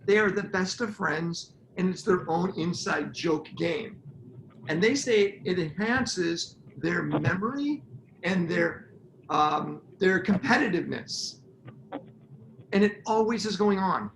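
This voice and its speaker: A middle-aged man co-hosting a relationship talk show, jokey and animated, prompting his partner to explain. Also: sits high, noisy background. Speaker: podcast co-host. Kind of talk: casual chat. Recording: echoey room, faint ambience.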